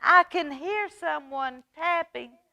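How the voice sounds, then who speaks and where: A woman's voice: extremely high pitch, slow pace, creaky, sharp tone, dry recording, clean studio sound, voice pushed up, full character voice. A woman voicing a small, anxious young storybook mouse who pleads and calls out urgently. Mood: frightened, urgent.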